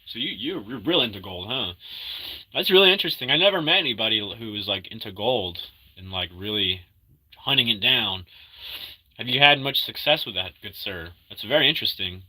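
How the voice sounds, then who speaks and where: Man in his thirties, feeling sick, loose rambling stream chat with a very bright, sharp tone. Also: lowish voice, expressive, husky, low-quality recording. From Argentina. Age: 30s. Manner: rambling, halting, full of fillers and restarts; upbeat when greeting viewers. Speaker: livestreamer reading chat. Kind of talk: casual live-stream chat.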